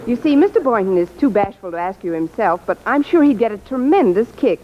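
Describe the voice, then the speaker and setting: An actress playing a wry, lovestruck American schoolteacher in an old radio sitcom, delivering coy, scheming wisecracks with dry timing. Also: female voice, a little high, big pitch swings, a little fast. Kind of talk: 1940s-50s radio sitcom acting. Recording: dull, noisy old radio, audience behind.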